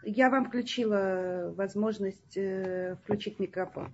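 A woman moderating a live online Q&A, speaking softly in brief procedural remarks. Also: medium pitch, takes it slowly, dull audio, slight background noise.

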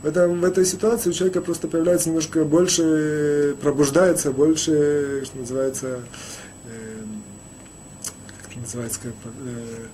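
A man gives a spoken Torah lesson, his voice sitting a little above the usual pitch and sounding mellow and dark. He talks haltingly, restarting words and filling pauses, with a faint hiss of noise behind him. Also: little pitch movement. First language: Russian, rarely used in daily life. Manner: halting, many restarts and "э" fillers. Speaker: rabbi teaching a Torah lesson.

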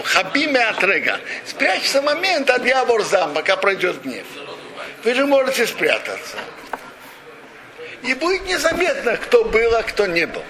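A man giving a religious lesson, retelling an old story with rhetorical questions and frequent restarts. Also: sits high. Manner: frequent restarts and self-corrections. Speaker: religious teacher. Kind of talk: Torah lesson retelling a midrash. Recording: steady background noise.

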